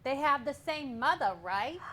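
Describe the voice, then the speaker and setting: Bright, high-voiced cheeky sister played by an actress. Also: female voice, expressive, a little slow, full character voice. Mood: musing. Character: Mary, cheeky, scheming younger sister. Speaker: stage actress. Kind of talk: staged reading of a period comedy play.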